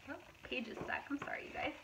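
A woman in her thirties, a preschool teacher, reads picture books aloud to young children in an expressive, animated manner with asides to her listeners. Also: high voice, background noise. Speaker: preschool teacher reading storytime.

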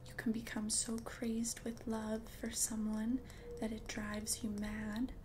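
An ancient witch, played by a woman, murmurs in a soft, breathy ASMR whisper. The delivery is unhurried and barely varies in pitch, confident and soothing as potions are brewed. Noticeable background noise sits under the voice. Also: completely flat delivery. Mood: hushed, cautioning. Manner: slow, deliberate, soothing, repeats words for emphasis.

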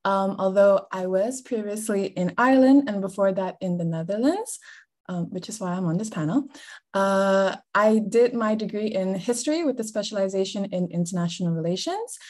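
A young woman from Malaysia talks on a video-call panel through her laptop mic. She is chatty and relaxed, and her voice sits at a medium pitch. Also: normal speed. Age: young adult. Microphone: laptop webcam on video call.

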